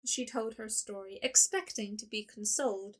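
A woman giving steady scripted narration for an audio drama. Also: medium pitch, normal speed, husky.

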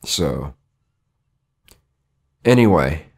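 A hoarse middle-aged man talking casually to camera in a rambling opinion vlog, full of restarts and rhetorical questions. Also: a bass voice, animated, extremely slow, darkish tone. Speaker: vlogger. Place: indoor studio with colored backdrop.

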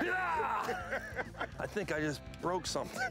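A man co-hosting a fishing show, bantering excitedly on a boat at sea and shouting when fish bite. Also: sits high, measured pace. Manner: whooping, laughing, shouting "fish on". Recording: boat audio under loud music.

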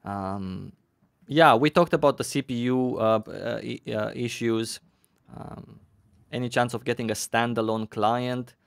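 A man hosting a livestream panel on a headset mic, reading viewer questions aloud with many pauses and fillers. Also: medium pitch, steady tone, vocal fry, dry recording, studio quality. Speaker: host.

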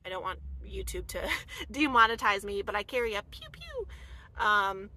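American woman, thirties, bright tone, chatty storytime, lots of fillers, inside a van at night. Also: mid-range voice, moderate pace, low-quality recording. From United States. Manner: chatty, animated, many "like" fillers. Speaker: vlogger. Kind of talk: casual storytime.

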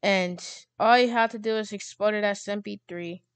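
A woman hosting a casual software tutorial, speaking in a halting, drawn-out way. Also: medium pitch, steady tone, clean tone, no room sound. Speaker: tutorial host.

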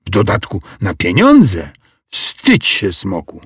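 A man's voice: fairly low pitch, huge pitch swings, slow pace, husky, no room sound, studio quality, full character voice. A man voicing an upset, scolding little girl in a children's audio story, with stern, emphatic delivery.

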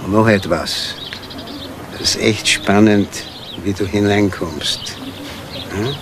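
An actor playing a worn-out ex-convict burglar in a crime radio play, coaxing and wistful, speaking deliberately in short, persuasive phrases. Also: male voice, fairly low pitch, noisy background.

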